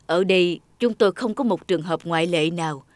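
A woman voicing a calm, kindly middle-aged male doctor in a cartoon, speaking slowly and gently. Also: fairly low pitch, dry recording, voice pushed down, natural voice. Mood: gentle.

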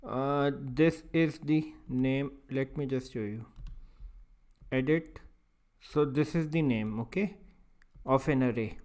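A man instructing step by step in a screencast tutorial, speaking in halting, piecemeal phrases and often checking in with an okay. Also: medium pitch, a little mellow. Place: voice-over for a screen recording.

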